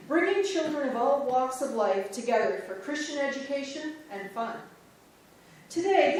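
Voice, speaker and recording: A woman's voice: sits high, distant mic, a little hiss or hum. Woman reciting in an echoey church. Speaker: reader's theater performer. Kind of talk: scripted reading of church history. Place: church sanctuary.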